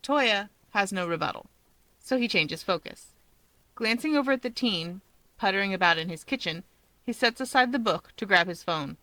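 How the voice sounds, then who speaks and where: Female narrator, bright and crisp-edged, dry close recording, expressive story reading. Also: medium pitch, moderate pace, dry recording, low-quality recording. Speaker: channel host and story narrator. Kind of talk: expressive scripted narration of fan fiction.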